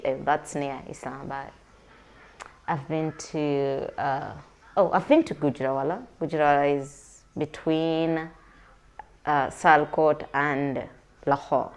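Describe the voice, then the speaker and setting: A woman chats slowly and unhurriedly to her viewers. Her voice sits slightly low. She rambles, filling pauses with little hesitations and correcting herself as she goes. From Uganda. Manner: chatty and rambling, with many fillers and self-corrections. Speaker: vlogger. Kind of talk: casual to-camera chat, answering viewer questions.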